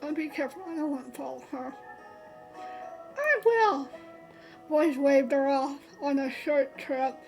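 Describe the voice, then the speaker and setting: A woman's voice: sits high, level tone, normal speed, vocal fry, hardly any pauses, over music, poor audio. A woman streamer reading a visual novel aloud, stumbling and restarting often and adding chatty asides. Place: streaming setup.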